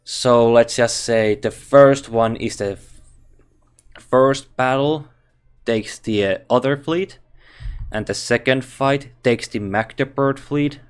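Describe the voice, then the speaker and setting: A man with a Finnish accent reviewing a game character, thinking aloud in halting English with frequent restarts. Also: mid-range voice, clean tone. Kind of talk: casual game commentary.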